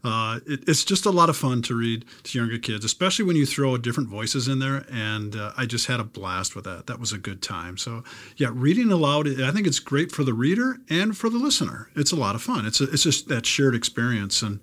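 Middle-aged man, medium pitch, informal and rambling. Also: big pitch swings, brisk, a bit bright. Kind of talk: casual reflective conversation.

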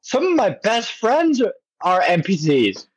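A woman's voice: medium pitch, very animated, a little slow, husky, no room sound, poor audio. A woman co-hosting a casual podcast chat, tossing in joking quotes. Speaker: podcast co-host.

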